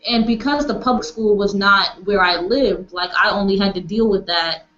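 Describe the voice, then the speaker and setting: A woman's voice: medium pitch, normal speed, fairly bright tone. A woman leading a casual online group discussion, speaking hesitantly and searching for words over a video call. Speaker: discussion facilitator. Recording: echoey, dull, noisy video-call audio.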